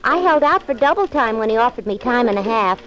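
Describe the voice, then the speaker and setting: An actress playing a naive, chirpy young newspaper clerk in a 1940s radio drama, earnest in delivery. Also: female voice, fairly high pitch, animated, fairly quick, a bit bright. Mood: perky, earnest. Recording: muffled 1940s radio recording, background chatter.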